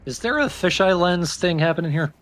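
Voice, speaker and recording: A man's voice: sits high, animated, fairly quick, a bit bright, faint music behind. A man co-commentating on a video game in a casual, joking way. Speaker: let's play co-commentator.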